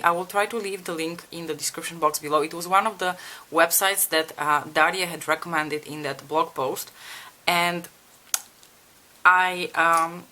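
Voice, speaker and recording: A woman's voice: on the low side, pushed and bright. A young woman from Bulgaria chatting candidly to viewers while doing her makeup, asking them for advice and swearing casually. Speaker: beauty vlogger. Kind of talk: casual makeup tutorial chat.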